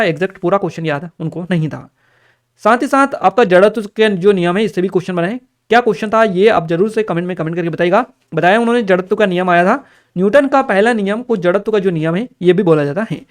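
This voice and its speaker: A man explains exam questions as a coaching teacher, repeating key terms and reminders in an instructional way. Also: sits high. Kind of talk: explanatory exam-paper lecture to camera or screen.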